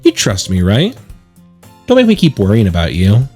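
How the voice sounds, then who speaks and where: A man's voice: fairly low pitch, huge pitch swings, moderate pace, warm tone, breathy edge, faint music behind, heavily acted. A man voicing a carefree, sweetly nagging teenage schoolgirl from a visual novel, bubbly and pleading. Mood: pleading, cheerful. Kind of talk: visual novel character read-aloud.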